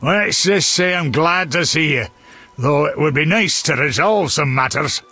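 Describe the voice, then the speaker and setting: An actor playing a gruff, hearty dwarf warrior in a fantasy game, raspy, blunt and familiar. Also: male voice, on the high side, expressive, unhurried, bright, forceful tone, full character voice. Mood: frank, friendly. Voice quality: gravelly, raspy. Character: gruff, hearty male dwarf warrior, loyal old pal. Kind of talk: fantasy video game dialogue.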